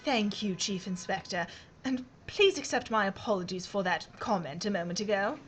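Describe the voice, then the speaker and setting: A woman's voice: mid-range voice, moderate pace, lightly acted. Actress as arch aristocratic lady, faint background noise. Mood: haughty. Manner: arch, wry, well-bred. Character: haughty, mischievous aristocratic British lady columnist. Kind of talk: period mystery audio drama acting.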